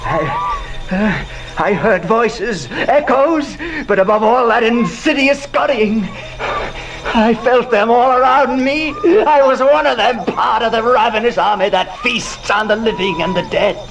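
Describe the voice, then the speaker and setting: An actor playing a haunted, unhinged gentleman narrator in a horror audio drama, with a slow, theatrical, tormented delivery. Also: extremely high pitch, creaky, music in the background, heavily acted, low-quality recording. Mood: feverish, frantic.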